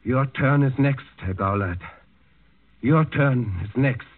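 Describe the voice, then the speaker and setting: An actor playing an imperious but rattled Nazi governor, halting and repeating himself in a 1940s radio drama. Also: male voice, mid-range voice, heavy background noise, close to an everyday voice. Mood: uneasy dread. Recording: muffled 1940s radio recording.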